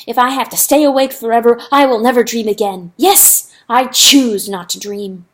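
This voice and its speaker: A woman's voice: medium pitch, moderate pace, close to an everyday voice. A woman voicing a proud, guilt-ridden male rooster lord in an audiobook fable, passionate, defiant and vehement in manner. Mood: stubborn resolve. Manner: vehement, shrieking when roused.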